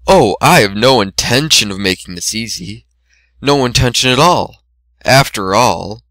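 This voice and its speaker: A man voicing an ancient pony princess in a story, sly, menacing and deliberately gloating. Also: mid-range voice, animated, sharp tone, dry recording.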